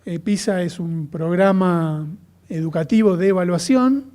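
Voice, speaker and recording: A man with an Argentine accent lectures in an academic register, his voice sitting fairly high but soft and mellow. He talks thoughtfully, often pausing with fillers and starting phrases over. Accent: Argentine Spanish. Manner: thoughtful, many fillers and restarts. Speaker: lecturer on comparative education.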